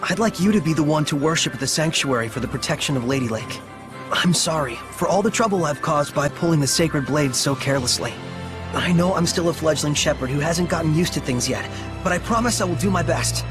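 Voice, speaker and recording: A man's voice: fairly high pitch, brisk, natural voice. An actor playing a humble, friendly young male hero, boyish and sincere, with polite but casual delivery in a fantasy game scene. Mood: earnest, hopeful. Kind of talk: dubbed fantasy game cutscene acting. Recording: orchestral music behind the voice.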